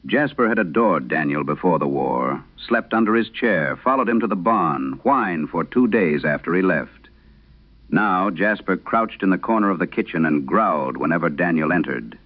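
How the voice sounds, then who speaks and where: A man narrating as a troubled wife reading her haunted letter in a vintage horror radio drama, solemn and measured. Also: low-pitched, expressive. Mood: somber, uneasy. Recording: dull lo-fi vintage radio sound.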